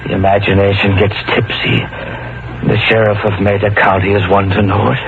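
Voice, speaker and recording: A man's voice: fairly low pitch, monotone, normal speed, natural voice. An actor playing a haunted, unhinged truck driver, telling his tale with brooding drama in a 1940s radio play. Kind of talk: 1940s radio drama first-person narration. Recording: noisy, muffled vintage radio recording.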